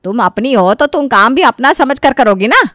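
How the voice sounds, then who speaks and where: Lady doing a bossy older indian mother-in-law for a story, high voice, scolding and sarcastic, super dry recording. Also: expressive, moderate pace, a bit bright, no echo. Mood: irritated, scolding. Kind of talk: dramatised Hindi storytelling.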